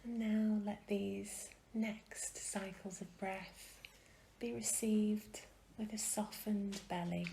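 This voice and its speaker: A woman gently guiding a meditation in a soft, breathy, often near-whispered voice, soothing and unhurried, with long pauses. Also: mid-range voice, steady tone, a little hiss or hum. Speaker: meditation guide. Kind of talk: guided meditation.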